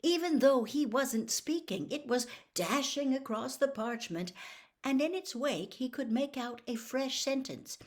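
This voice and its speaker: A woman storyteller reading a novel aloud in expressive audiobook-style narration. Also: sits high, unhurried.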